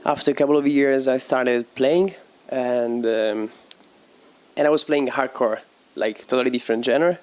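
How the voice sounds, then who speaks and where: A young man talks about his life in casual interview answers. He speaks at an ordinary middle pitch, stops often to hunt for the right word and laughs easily. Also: fairly even intonation. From Italy. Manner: halting, searching for words, laughs easily. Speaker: DJ and producer guest.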